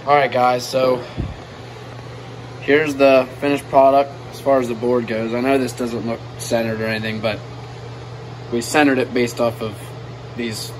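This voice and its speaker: A young man talks through a shop project in a flat, even voice with little rise and fall. The sound is phone audio in a cold shed, with a heater humming clearly underneath. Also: medium pitch, moderate pace, long pauses, slight background noise, low-quality recording. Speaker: DIY video co-host. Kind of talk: casual how-to narration.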